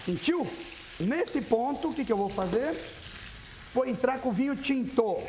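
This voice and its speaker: Lively man demonstrating a recipe. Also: very high-pitched, measured pace, background noise. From Brazil. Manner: lively, chatty, addressing viewers directly. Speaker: TV cooking show chef. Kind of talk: cooking demonstration. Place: studio kitchen set. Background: frying and sizzling.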